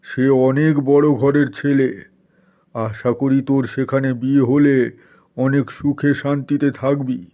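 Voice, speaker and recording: A man as a proud, slow-speaking landlord father. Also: medium pitch, steady tone, warm tone, lightly acted. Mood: firm. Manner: indignant, commanding. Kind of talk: cartoon story voice acting.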